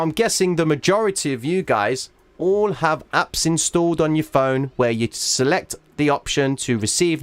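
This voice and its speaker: Man, livestream host, chart walkthrough, emphatic, going hoarse, dry close sound. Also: medium pitch, no echo. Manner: emphatic, checks in with "all right?" often. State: losing his voice. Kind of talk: live chart walkthrough to viewers.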